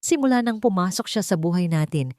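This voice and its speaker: A woman's voice: on the low side, animated, fairly quick, mellow, dry recording, clean studio sound, close to an everyday voice. A woman voicing a proud, bossy wealthy older matriarch who screams and rants in a dramatized audio story. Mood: furious. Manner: screaming, tearful outbursts.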